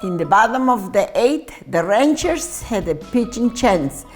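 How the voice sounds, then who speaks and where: A woman reading a children's story aloud in an expressive, deliberate way, occasionally stumbling over words. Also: fairly high pitch, soft background music. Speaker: storytime reader.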